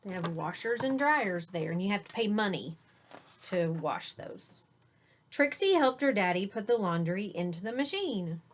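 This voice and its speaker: A woman teacher reading a picture book aloud to children, pausing for simple explanatory asides. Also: mid-range voice, big pitch swings.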